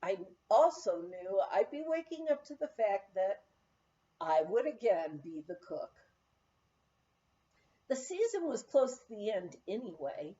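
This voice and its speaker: Woman, audiobook memoir narration, unhurried pace, mellow tone, expressive, slightly muffled recording. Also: medium pitch. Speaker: audiobook reader.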